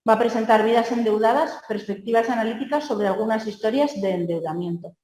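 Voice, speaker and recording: A woman's voice: a little high, fairly quick, a little mellow. A woman moderating a conference panel, introducing the next speaker in a brief, formal way. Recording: echoey, distant video call audio.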